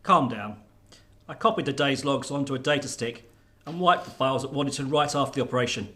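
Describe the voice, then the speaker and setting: A man's voice: mid-range voice, brisk, bright, forceful tone, lightly acted. A man acting in a radio drama as a callous, sardonic general who can sound smug or flustered. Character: callous military general, smugly mock-innocent, prone to fluster.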